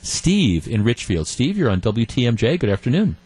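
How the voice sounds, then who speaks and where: Plauderhafter Radiomoderator mit Stottern. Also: male voice, medium pitch, big pitch swings, moderate pace, darkish tone, husky. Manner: stammering restarts, chuckling, chatty. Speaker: radio talk show host. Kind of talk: call-in radio chat. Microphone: studio microphone.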